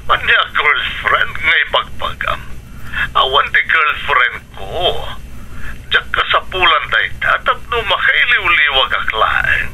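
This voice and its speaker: An actor playing a homesick, gentle middle-aged father in a radio drama, with an Ilocano accent. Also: on the high side, big pitch swings, slow, slight background noise, heavily acted, low-quality recording. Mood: protesting. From Philippines.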